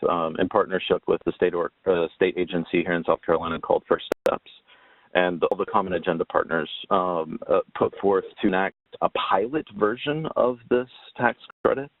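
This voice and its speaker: A man presenting a webinar slide talk, explaining informally in a halting, hesitant way. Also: sits low, no echo. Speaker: webinar presenter on tax credit policy. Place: remote webinar call. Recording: dull lo-fi call audio, very dry.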